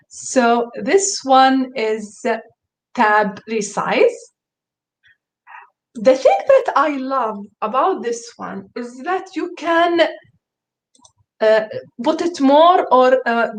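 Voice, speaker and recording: A woman whose first language is Arabic, presenting a screen-share tutorial to teachers, hesitant, with many fillers and restarts, and enthusiastic. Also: a little high.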